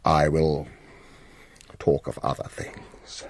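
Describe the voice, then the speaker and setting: An actor playing an imperious, scheming lord of a Gothic castle in a radio drama, commanding and curt. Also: male voice, a bass voice, steady tone, takes it slowly, vocal fry, no echo, full character voice.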